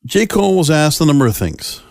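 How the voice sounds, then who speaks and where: A man speaking as a podcast host, giving solo commentary in halting, short phrases. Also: fairly high pitch, very animated, vocal fry, darkish tone, dry recording, clean studio sound.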